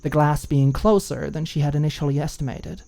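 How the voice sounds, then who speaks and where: A woman reading a scripted story as an audiobook narrator, in an expressive storytelling style. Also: low-pitched, fairly quick, vocal fry.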